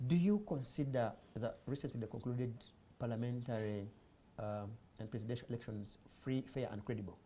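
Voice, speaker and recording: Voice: mid-range voice, moderate pace, mellow, a little hiss or hum. A host moderating a panel, hesitant and filler-heavy as he prompts the guests. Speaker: talk show host.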